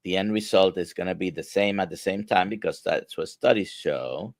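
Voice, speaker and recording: A deep-voiced man with a Spanish accent hosts a live stream and speaks in halting bursts filled with "uh." His voice has a gravelly edge and is recorded dry and close, with no room sound. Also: no room sound. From grew up speaking Spanish, now near Orlando. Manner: halting, many "uh" fillers, reads viewer questions. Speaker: host, physician. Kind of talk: casual live-stream interview.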